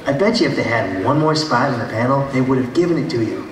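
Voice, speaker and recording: A man voicing a kind, modest little dragon, earnest and reassuring, in an animated radio play. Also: mid-range voice, fast talker, a crowd in the background, lightly acted. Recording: echoey hall playback, distant, faint noise.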